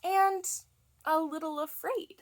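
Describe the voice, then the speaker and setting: A woman reading picture books aloud to young children as a storytime host, with an expressive, deliberate delivery that leans on key words. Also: extremely high pitch. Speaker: children's librarian hosting a storytime.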